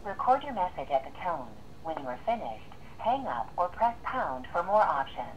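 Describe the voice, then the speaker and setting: A female voicemail system voice reads scripted instructions. It is slightly low with lively rises and falls. It comes over a phone with faint noise behind it. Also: moderate pace, rough recording. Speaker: automated voicemail prompt.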